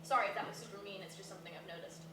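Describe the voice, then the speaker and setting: A woman's voice: medium pitch, racing, echoey room, natural voice. Far off noisy recording, actress as blunt chatty self-deprecating woman in a play, talks casual. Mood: confident, frank. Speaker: actor in a staged play reading. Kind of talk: naturalistic stage dialogue before a live audience.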